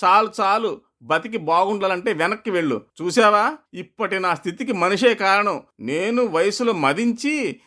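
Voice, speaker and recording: A man's voice: sits high, animated, normal speed, sharp tone. A man voicing a lonely old elephant in a folktale, admonishing and rueful. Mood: rueful, scolding.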